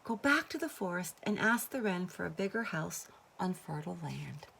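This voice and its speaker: A woman's voice: lowish voice, very animated, normal speed, gravelly, a bit bright, breathy edge, full character voice. Greedy peasant mother, done by a woman, scolding, bossy, weary, storybook reading.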